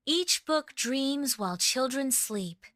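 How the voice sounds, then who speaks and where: A gentle storybook fairy guide, acted by a woman, speaking in a high voice. She is encouraging and kind, recorded dry and close. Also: lively intonation, takes it slowly, dry recording, exaggerated voice. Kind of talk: children's bedtime story character acting.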